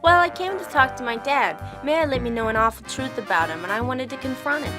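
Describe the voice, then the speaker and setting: A woman's voice: sits high, expressive, fast talker. A woman playing a fiery, bitter daughter in her late teens in a noir radio drama, sassy and combative. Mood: impatient, sassy. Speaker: student voice actor. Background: music.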